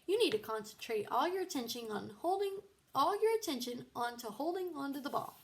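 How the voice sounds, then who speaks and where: A woman's voice: sits high, expressive, brisk, pitched above the performer's own voice. A woman voicing a scornful, competitive young girl with a snappy, taunting manner in a children's storybook. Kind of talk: children's storybook read-aloud.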